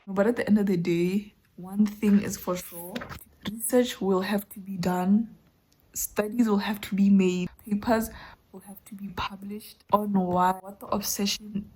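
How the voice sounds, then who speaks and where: A woman's voice: mid-range voice, fairly even intonation, measured pace, dark tone, slight background noise, poor audio. A woman chatting casually and candidly in slangy, conversational language to camera, as a book vlogger. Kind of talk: casual reading vlog chat to camera.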